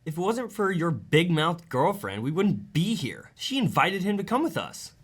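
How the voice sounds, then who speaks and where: A man's voice: a little high, sing-song, normal speed, slightly rough. A man acting a proud, defensive middle-school boy in an amateur radio drama. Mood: irritated.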